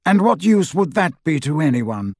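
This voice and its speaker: A man voicing a calm, stern old headmaster wizard in an audiobook, measured, wise and coolly reproving. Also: on the high side, breathy edge, dry recording, clean studio sound, pitched above the performer's own voice, heavily acted. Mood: cold, firm. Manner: measured, cool, gently reproving.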